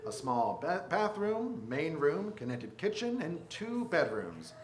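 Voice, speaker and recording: A man's voice: fairly high pitch, huge pitch swings, measured pace. A man giving scripted narration for a radio drama. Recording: echoey stage with clear background noise.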